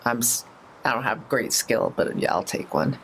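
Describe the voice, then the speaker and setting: A man's voice: mid-range voice, lively intonation, moderate pace, fairly bright tone, slight background noise, poor audio. A man playing a tabletop role-playing game, talking casually in a hesitant, musing way. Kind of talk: casual in-character table talk.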